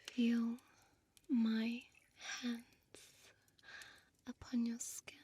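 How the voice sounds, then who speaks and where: A woman playing a seductive, possessive vampire innkeeper in an ASMR audio roleplay, whispering softly with hypnotic, commanding slowness. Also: on the high side, completely flat delivery, slight background noise. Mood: soothing, hypnotic. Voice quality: breathy, soft whisper. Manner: slow, hypnotic, coaxing, deliberate pauses.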